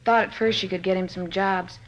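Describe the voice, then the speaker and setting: A woman's voice: mid-range voice, fairly even intonation, a little fast, lightly acted. Woman acting as blunt, slangy coffee-shop worker. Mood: blunt, scornful. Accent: American. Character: plain-spoken working woman at a coffee shop, scornful. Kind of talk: 1950s radio drama acting. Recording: noisy old radio, typewriter clicking behind.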